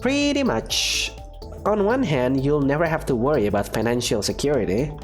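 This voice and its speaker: A man voicing a calm female academy professor who lectures in a measured, dryly teasing way. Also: medium pitch, soft background music, natural voice. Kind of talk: fan visual novel voice acting.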